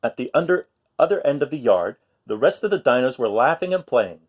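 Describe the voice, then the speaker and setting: Male children's storyteller, very lively pitch, expressive read-aloud style, talks directly to kids with simple questions and explanations. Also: fairly quick, dull audio.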